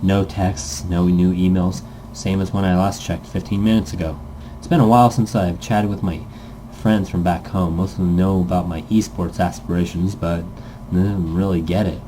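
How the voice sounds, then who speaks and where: A man's voice: low-pitched, fairly even intonation, normal speed, mellow, a little hiss or hum, poor audio. A man casually reading a visual novel script aloud as narration, stumbling now and then and correcting himself. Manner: stumbles over words, corrects himself, jokey asides.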